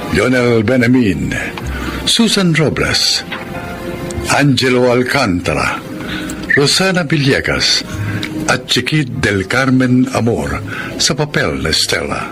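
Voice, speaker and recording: Male announcer for radio drama credits: formal, slow delivery, lively swooping pitch, music bed. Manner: dramatic, measured.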